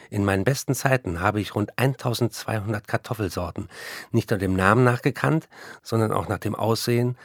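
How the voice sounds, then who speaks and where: A man's voice: lowish voice, dry recording, studio quality. An actor playing a writer in a radio play, reflectively recalling his life as if telling a story. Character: male writer reflectively recalling his farm-working years. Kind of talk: scripted radio play, first-person recollection.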